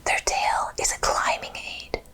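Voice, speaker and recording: A woman host narrating educational animal facts in an ASMR style, whispering slowly and deliberately. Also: sharp tone. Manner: whispers slowly and deliberately through most of the talk.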